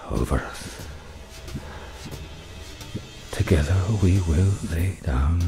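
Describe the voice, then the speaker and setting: An actor playing a bleak, weary wanderer in a poetic radio play, speaking in halting fragments that sink toward a whisper over music. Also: male voice, low-pitched. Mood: solemn.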